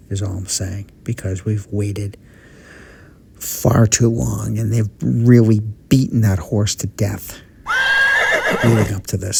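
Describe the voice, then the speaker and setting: A man's voice: fairly low pitch, vocal fry, mellow, husky. A man hosting a podcast, giving wry, opinionated commentary and teasing his co-host.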